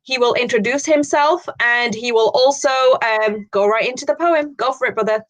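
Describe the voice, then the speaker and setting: A woman hosting an online poetry event in a relaxed way, using Islamic phrases and fillers. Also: fairly high pitch, normal speed. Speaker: event host.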